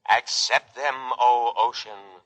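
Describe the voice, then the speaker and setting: A man's voice: mid-range voice, fairly even intonation, heavy background noise, natural voice. A man in a 1950s radio drama voicing an earnest, protective robotic lifeboat that speaks formally and solemnly. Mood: solemn, reverent. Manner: formal, solemn, measured speech. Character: earnest, literal-minded robotic lifeboat, protective, dutiful and pious.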